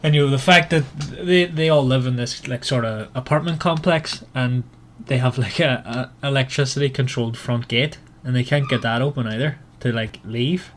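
Male book podcast co-host, casual and halting delivery, some room noise behind. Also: mid-range voice, a bit bright.

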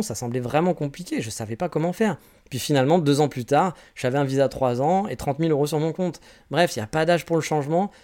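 Fast-talking French man in his forties. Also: fairly high pitch, mellow. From France. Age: 40s. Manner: candid, rambling advice with frequent restarts. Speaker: podcast host. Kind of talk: casual solo podcast answering listener questions.

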